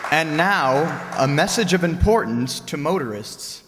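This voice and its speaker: A man's voice: a little high, lively intonation, a little slow, crowd noise. A man announcing a recreated old-time radio show in a scripted style. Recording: echoey hall with noticeable noise.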